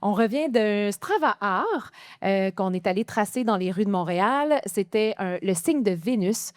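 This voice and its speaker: A woman's voice: mid-range voice, animated, a little slow, no echo, studio quality. A woman with a Quebec French accent co-hosting a live podcast in a chatty, upbeat way. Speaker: podcast co-host. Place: event in front of a live audience.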